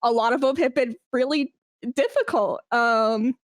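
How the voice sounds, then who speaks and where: A woman chatting casually as an interview guest, telling work stories in a candid, slangy, animated way. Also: fairly high pitch, measured pace, no echo, studio quality. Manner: candid, animated storytelling with slangy asides and acted-out quotes. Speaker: esports brand manager, podcast guest.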